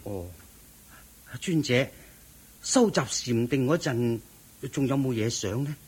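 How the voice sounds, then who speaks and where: An actor playing an eager, admiring young lay disciple, deferential and naive, speaking Hong Kong Cantonese in a radio drama. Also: male voice, medium pitch, lively intonation, slow, a little mellow, breathy edge. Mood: curious, respectful.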